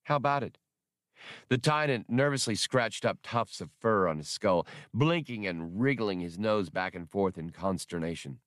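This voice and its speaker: A man's voice: on the low side, normal speed, hardly any pauses, fairly bright tone, dry recording, studio quality. A man narrating adventure fiction as a scripted, dramatic audiobook reading.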